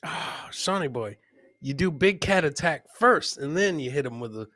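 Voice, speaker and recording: A man with a bright, punchy voice and a slightly high pitch hosts a casual live comedy show. The sound is dry and close. He chats loosely with his co-host and viewers, often saying a phrase twice for comic effect. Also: animated, normal speed, no echo. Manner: chatty, addresses co-host and live viewers by name.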